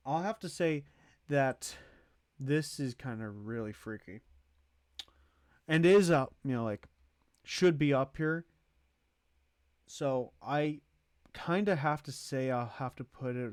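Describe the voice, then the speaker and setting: A man giving casual livestream commentary as host, thinking aloud in a halting way as he ranks things. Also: mid-range voice, clear, resonant tone, no room sound, clean studio sound. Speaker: streamer hosting a movie tier list.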